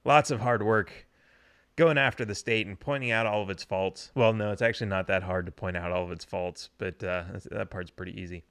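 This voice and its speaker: A man's voice: on the low side, steady tone, brisk, fairly bright tone, clear, resonant tone, no room sound, studio quality. Joking man hosting a video-call show. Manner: joking, teasing, laughs often. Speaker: podcast host. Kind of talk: casual interview chat. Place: home room. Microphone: video call with desk mic.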